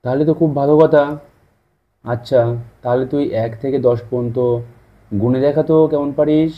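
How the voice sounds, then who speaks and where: A man's voice: medium pitch, steady tone, moderate pace, dull audio, lightly acted. A man playing a village father who teaches his children firmly, with praise, in a puppet story. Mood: encouraging. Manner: instructive, parental.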